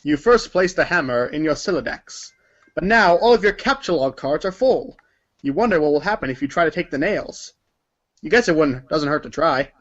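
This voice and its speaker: A man's voice: a little high, animated, moderate pace, fairly bright tone, dull audio. A man reading scripted second-person narration like a read-along storybook, with theatrical emphasis.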